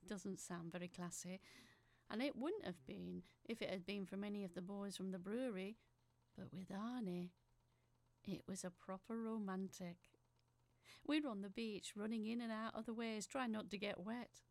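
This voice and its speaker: A woman's voice: medium pitch, normal speed, gravelly, a little mellow, no echo, close to an everyday voice. A woman playing a chatty, apologetic working-class factory worker, telling her story as a rambling, wistful letter in an audio drama. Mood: fond, nostalgic. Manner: rambling, self-deprecating, wistful.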